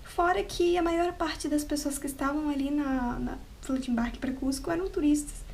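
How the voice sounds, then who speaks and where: A woman's voice: high voice, moderate pace, creaky, a little mellow, a little hiss or hum. A woman telling a travel story to the camera in an unscripted, chatty way, often laughing and tagging on "né". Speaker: travel vlogger. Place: at home, with cats nearby.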